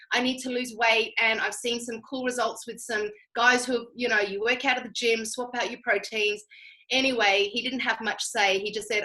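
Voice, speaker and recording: Aussie woman, really bright forceful voice, chatty storytelling, talks right at you. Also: fairly high pitch, poor audio. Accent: Australian. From Australia. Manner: chatty, emphatic, addresses listeners directly. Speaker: guest speaker telling personal story. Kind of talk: conversational testimonial storytelling.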